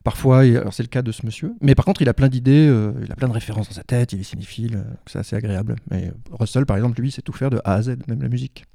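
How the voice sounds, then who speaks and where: A man's voice: mid-range voice, racing, gravelly, few pauses, dark tone, no echo, clean studio sound. A man from a community TV association telling about a project in a radio interview.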